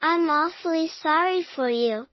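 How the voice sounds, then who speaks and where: A woman's voice: very high-pitched, unhurried, no room sound, muffled recording, voice pushed up. A woman voicing a kind, polite young girl in a storybook tale, speaking earnestly. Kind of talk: storybook character dialogue.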